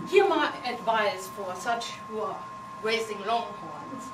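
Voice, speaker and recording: A woman from Germany with a German accent, giving a humorous contest speech with playful, teasing delivery and non-native phrasing. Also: mid-range voice. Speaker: contest speaker. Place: meeting hall with audience. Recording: echoey hall from far off, noisy.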